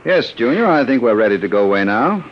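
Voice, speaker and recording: An actor playing a commanding, sardonic criminal boss in 1950s radio drama style. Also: male voice, medium pitch, sing-song, fast, natural voice. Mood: smooth, sardonic. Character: domineering, sly male criminal boss, smoothly threatening. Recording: dull, hissy old radio recording.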